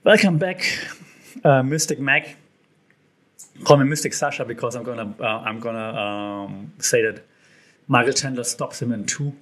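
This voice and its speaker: A man hosting his own podcast, talking loosely and conversationally with frequent ums, uhs and restarts. His voice sits at an ordinary middle pitch for a man and sounds a little hoarse. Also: moderate pace, long pauses, a bit bright. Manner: casual, chatty, frequent fillers and restarts. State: slightly hoarse. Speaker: podcast host. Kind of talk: solo podcast commentary.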